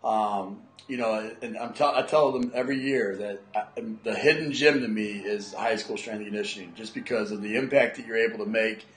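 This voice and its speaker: A man's voice: lowish voice, steady tone, fairly quick, few pauses, fairly bright tone, reverberant, low-quality recording. A man hosting a strength coaching podcast, talking with energy and enthusiasm. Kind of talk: podcast interview and promo.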